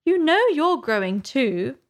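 A woman's voice: very high voice, big pitch swings, takes it slowly, voice pushed up, full character voice. A woman voicing an earnest young girl in a storybook, with a light, childlike manner. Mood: bold. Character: polite, earnest young girl, growing bolder.

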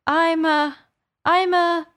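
A woman's voice: sits high, sing-song, takes it slowly, a bit bright, voice pushed up, heavily acted. A woman voicing an earnest, polite little girl with a questioning manner in a storybook. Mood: doubtful, earnest. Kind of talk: storybook character voice.

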